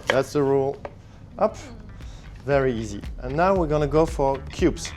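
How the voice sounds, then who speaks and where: A man working as head chef, demonstrating a recipe in a kitchen and calmly explaining each step. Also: medium pitch, moderate pace, soft background music. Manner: relaxed, explains steps simply. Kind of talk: cooking demonstration.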